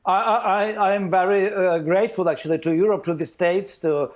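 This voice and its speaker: An author speaks in a fairly high voice, halting often and starting over. He answers questions in a remote news interview. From Ukraine. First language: Russian. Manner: halting, repeats words and restarts.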